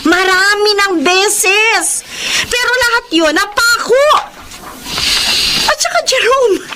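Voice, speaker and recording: Jealous, wounded Filipina wife, very shrill-high and swooping, emphatic melodrama delivery, noisy backdrop. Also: female voice. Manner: tearful, accusing, emphatic. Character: jealous, hurt wife pleading with her husband. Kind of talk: Filipino radio-style melodrama acting.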